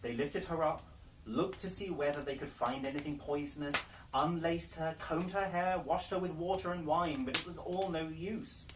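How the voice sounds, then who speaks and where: A man reading a fairy tale aloud as a storyteller, with theatrical, deliberate phrasing. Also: a little high, steady tone. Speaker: library storyteller. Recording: noisy, distant microphone, clicks.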